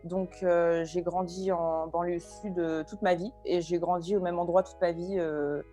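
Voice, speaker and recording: A woman in her twenties from France, talking casually as an interview guest, often pausing with fillers and restarts. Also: lowish voice, flat delivery, mellow, soft background music. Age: 20s. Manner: hesitant, many fillers and restarts.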